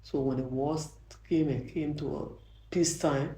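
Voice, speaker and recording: Soft-voiced older Liberian woman, gravelly and mellow. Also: low-pitched, steady tone, unhurried. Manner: earnest, frequent restarts, grows emphatic. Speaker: judge. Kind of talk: interview answers, reflective storytelling. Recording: roomy echo.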